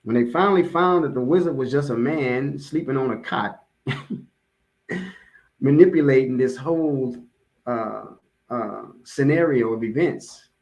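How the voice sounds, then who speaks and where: A man's voice: medium pitch, a little slow, pauses often, a little mellow, poor audio. An American man giving an informal motivational sermon, repeating key phrases for emphasis and checking in with his listeners conversationally. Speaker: religious speaker giving a public address.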